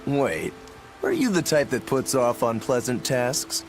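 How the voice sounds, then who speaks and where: A man's voice: mid-range voice, huge pitch swings, a little slow, faint music behind. A man voicing an easygoing, boyishly enthusiastic swordsman in a video game, informal and breezy. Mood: casual. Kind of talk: English video game voice acting.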